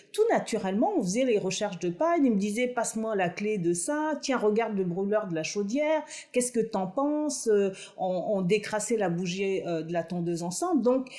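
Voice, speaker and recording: A woman's voice: medium pitch, warm tone. A middle-aged woman from France answering interview questions at length, earnest and hesitant with many fillers. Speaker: aeronautics engineer and inventor.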